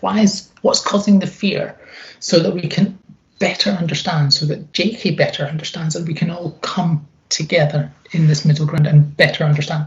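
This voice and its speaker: A middle-aged woman with a deep, gravelly voice, speaking earnestly and reflectively as an interview guest, repeating phrases for emphasis. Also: lo-fi sound.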